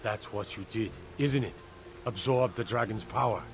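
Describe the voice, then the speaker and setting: Actor as emphatic fantasy guard, noisy recording. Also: male voice, lowish voice, normal speed, lightly acted. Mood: awed. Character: awed, gruff male city guard, blunt believer in legends. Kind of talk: video game character dialogue.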